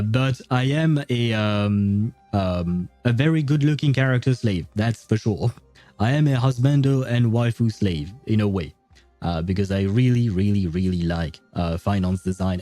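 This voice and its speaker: A man's voice: on the low side, mellow, dry recording. A man from France, chatting casually and ramblingly with viewers as he streams a game. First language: French. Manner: rambling, chatty, answers chat as he goes. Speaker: game livestreamer. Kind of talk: casual chat with viewers while playing. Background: faint game music.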